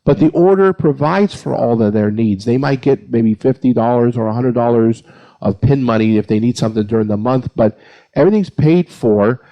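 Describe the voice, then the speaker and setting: A man's voice: mid-range voice, moderate pace, creaky, dull audio. A man speaking as a priest on a panel, giving an informal, plain-spoken explanation point by point. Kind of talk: informal explanatory answer to a question.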